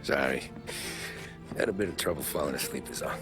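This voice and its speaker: An actor playing a wary, guarded gangster who speaks tersely in a game cutscene. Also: male voice, very deep voice, a little fast, music in the background.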